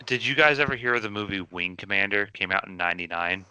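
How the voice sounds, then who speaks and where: Bright, smooth-voiced man, close and dry. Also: fairly low pitch, fast, poor audio. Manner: rambling, many fillers and restarts. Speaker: podcast co-host. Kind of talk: casual chat.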